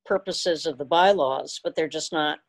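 A woman's voice: deep voice, normal speed. A woman chatting casually and jokingly with fellow club members on a video call, often laughing. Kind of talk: casual group chat. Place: indoors at home, video call.

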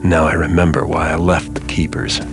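Game role: cynical male thief loner, low-pitched, dry and sardonic, music underneath. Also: flat delivery, fairly quick. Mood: defiant, sardonic. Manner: dry, sardonic, clipped delivery. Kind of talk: video game cutscene acting and narration. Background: background music.

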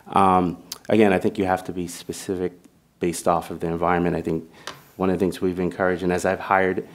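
A deep, low-pitched man in his thirties speaking on a conference panel. He talks earnestly, stacking phrases and restarting words as he builds his point. A table microphone picks him up in the room. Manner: earnest, repetitive restarts, listing credentials. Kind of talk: policy panel discussion.

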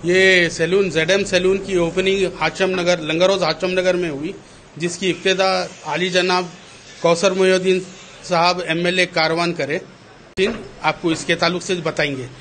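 A man, a reporter, announces a shop opening in a loud voice in a small salon. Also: high-pitched, level tone, normal speed. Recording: light background noise.

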